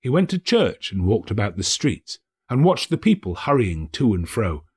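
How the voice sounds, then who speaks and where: A man narrating a classic story as an audiobook, with theatrical, measured storytelling. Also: fairly low pitch, very animated, breathy edge. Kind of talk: expressive literary narration.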